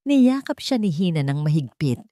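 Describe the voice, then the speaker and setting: A woman's voice: low-pitched, big pitch swings, moderate pace, warm tone, no room sound. Woman narrating a story, close dry sound. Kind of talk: scripted dramatic narration of a romance story.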